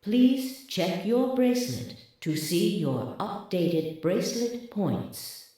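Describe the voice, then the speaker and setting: A woman playing an impassive announcement system in a video game, measured, polite and detached, with echoing reverb. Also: medium pitch, very animated, mellow. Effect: echoing public-address reverb. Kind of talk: formal scripted announcement.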